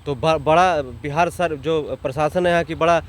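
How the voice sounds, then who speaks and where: A man with a high voice and a Jharkhand accent gives his views in an outdoor interview. He is blunt and exasperated, talking colloquially and throwing out rhetorical questions. Street and traffic noise sit faintly behind him. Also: moderate pace. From Jharkhand, India. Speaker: interview guest, online channel owner. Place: outdoors in a town, near a road.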